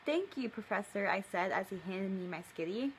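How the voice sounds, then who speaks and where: A young woman reads a story aloud in a casual vlog style, at a middle pitch. She keeps stepping out of the reading with playful, mock-indignant comments. It is recorded in a living room on a camera a little way off, with faint noise behind her. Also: lively intonation, moderate pace. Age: young adult. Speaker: video creator. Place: living room at home, indoors.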